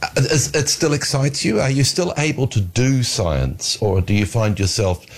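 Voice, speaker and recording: A man interviewing a guest, asking measured questions with a wry edge. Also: mid-range voice, expressive.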